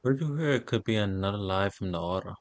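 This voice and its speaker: A man's voice: on the low side, expressive, fast talker. A man giving casual gameplay reactions as a streamer, in slangy asides, often repeating words. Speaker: gaming streamer.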